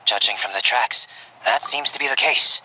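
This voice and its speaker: Man voicing a calm, scholarly young alchemist. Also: mid-range voice, very noisy, natural voice. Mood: cautious. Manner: measured, formal, composed, precise. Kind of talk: video game cutscene acting. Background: game music.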